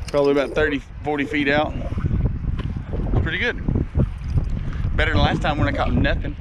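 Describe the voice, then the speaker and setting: A man in his thirties hosting a casual fishing vlog by a lake, chatting easily with the kids and the camera. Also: a little high. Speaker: vlog host. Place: lakeside park outdoors. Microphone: body-worn action camera. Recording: outdoor camera mic with wind noise.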